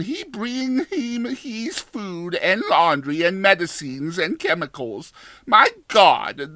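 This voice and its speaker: A man voicing an excitable, gossiping Spanish landlady who exclaims in accented, broken English. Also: high voice, dry recording, pitched above the performer's own voice, full character voice.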